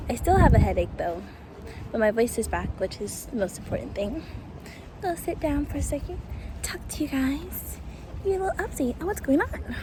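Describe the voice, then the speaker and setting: A woman's voice: on the high side, noisy background. A woman hosting a travel vlog, talking casually and chattily straight to her viewers. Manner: chatty, informal, addressing viewers directly. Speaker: travel vlogger and host.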